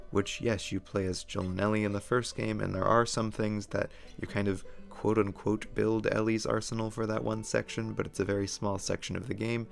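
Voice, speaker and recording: A man hosting a video essay in a casual, opinionated commentary style, his voice hoarse and worn as if he is losing it. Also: lowish voice.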